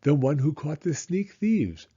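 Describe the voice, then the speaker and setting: A man voicing a gawking, gossipy storybook passer-by in an excited hush. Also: a little high, measured pace, breathy edge, lo-fi sound, voice pushed up, exaggerated voice. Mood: hushed excitement. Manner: hushed, excited.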